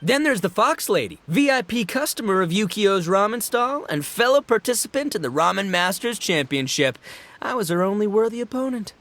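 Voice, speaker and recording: A man's voice: high-pitched, very animated, normal speed, a bit bright, exaggerated voice. A man voicing a brash, boastful young gang boss and rocker in a video game, with cocky, boisterous, slangy delivery. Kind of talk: animated video game voice acting.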